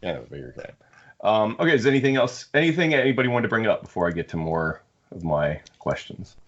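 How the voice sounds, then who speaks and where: A man with a very low voice hosts a casual book discussion through slightly muffled, lo-fi audio. He talks in a halting way, often repeating and rewording his phrases. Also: big pitch swings. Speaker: podcast host.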